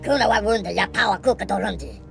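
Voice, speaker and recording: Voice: quick pace, vocal fry. An actor voicing a small, agitated Jawa alien that jabbers in alien gibberish in a video game. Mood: agitated protest. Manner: squeaky alien gibberish, chattering. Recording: music behind.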